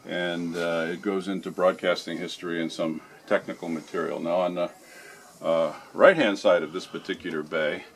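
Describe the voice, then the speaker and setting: A man giving an informal guided tour as a library guide, explaining things methodically in a halting way. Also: low-pitched, steady tone, a little hiss or hum.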